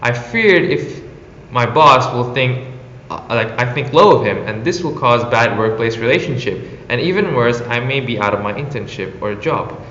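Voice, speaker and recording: A man's voice: medium pitch, expressive, moderate pace, fairly bright tone, a little hiss or hum. A young man from Indonesia with an Indonesian accent, earnestly reading a prepared personal reflection on his exchange internship. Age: young adult. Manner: earnest, reads from a prepared script. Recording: roomy, echoey, slightly dull sound.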